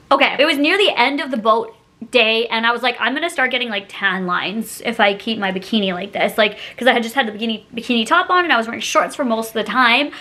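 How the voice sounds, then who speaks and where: A woman's voice: a little high, bright, forceful tone. A young woman telling a casual story to camera in a chatty, dramatic, giggly manner full of tangents. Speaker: YouTube storytime vlogger.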